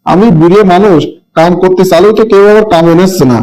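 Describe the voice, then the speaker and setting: A man's voice: high voice, steady tone, fast, a little mellow, natural voice. A man voicing a poor old village father in a cartoon, plaintive and colloquial, with a rural Bangladeshi accent. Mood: weary. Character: poor old village father, weary, scolding but loving. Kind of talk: amateur cartoon voice acting.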